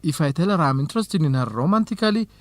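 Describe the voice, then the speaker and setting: An actor playing an earnest, cautious, lovestruck young research assistant in an educational radio drama. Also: male voice, on the high side, lively intonation, fast talker, dry recording, natural voice. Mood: worried. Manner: earnest, anxious, principled.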